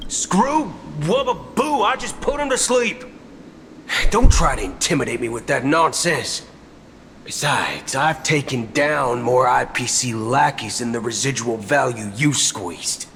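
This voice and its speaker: A man's voice: medium pitch, lively intonation, a little slow, a bit bright, slight background noise. A man voicing a brash, menacing cowboy outlaw in a video game, drawling his threats. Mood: brash, defiant. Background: music.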